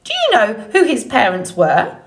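Squawking, scolding old goose. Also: female voice, on the high side, wildly swooping pitch, moderate pace, gravelly, slight background noise, pitched above the performer's own voice, heavily acted. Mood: questioning. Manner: indignant, bossy, matter-of-fact. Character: brisk, scolding old grey female goose.

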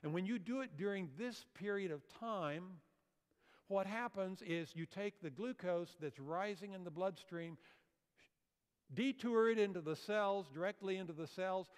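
A man's voice: sits high, measured pace, smooth. An older man giving a health seminar lecture on a church auditorium stage, explaining slides and asking the audience questions. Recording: long hall reverb.

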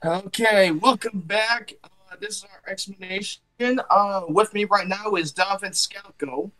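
A man's voice: high-pitched, measured pace, sharp tone, poor audio. A man on a voice call, nervous and flustered, laughing a lot. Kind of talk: casual voice-chat roleplay.

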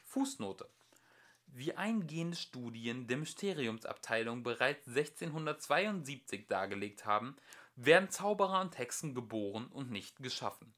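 A man's voice: medium pitch, lively intonation, moderate pace, pushed and bright. A young adult man reading a book of fairy-tale commentary aloud as an amateur audiobook narrator.

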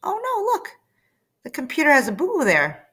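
A woman's voice: high voice, wildly swooping pitch, moderate pace, fairly bright tone. A woman storyteller and teacher reading aloud to children, coaxing listeners to join in. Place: small room indoors.

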